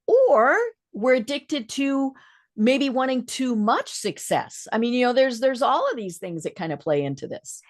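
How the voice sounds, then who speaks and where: A woman's voice: a little high, big pitch swings, a little slow. Agreeable woman hosting a conversational interview. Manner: agreeable, frequent affirming murmurs. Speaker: podcast host.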